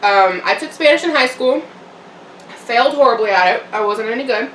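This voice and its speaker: A woman's voice: a little high, expressive, brisk, slight background noise. Chatty young woman in an echoey room. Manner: rambling, chatty, with fillers and soft laughs. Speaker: vlogger. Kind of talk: casual eating-show chat. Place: home room, eating on camera. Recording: echoey room, mic a bit far off.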